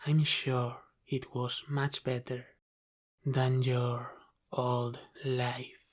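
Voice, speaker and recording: A man playing a teasing, menacing mafia boss with an Italian-American accent in an ASMR roleplay, speaking deliberately and sometimes dropping into a whisper. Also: medium pitch, level tone. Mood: teasing, probing. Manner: slow, deliberate, teasing, with breathy sighs and whispered phrases.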